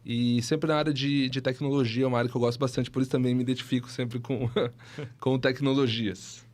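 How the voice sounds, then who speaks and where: Podcast guest: man, early thirties, mid-pitched, explanatory, conversational. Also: little pitch movement, brisk, a little mellow. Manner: explanatory, frequently adds tag questions. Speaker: guest, tech entrepreneur and CEO. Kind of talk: conversational podcast interview storytelling.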